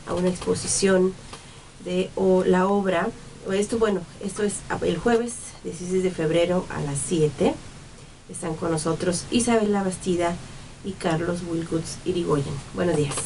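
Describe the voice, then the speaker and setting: Female radio host introducing guests, mellow low voice, a little off-mic. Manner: reads intro, stumbles slightly. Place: small radio studio. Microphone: desk studio mic. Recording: slightly distant, faint hiss.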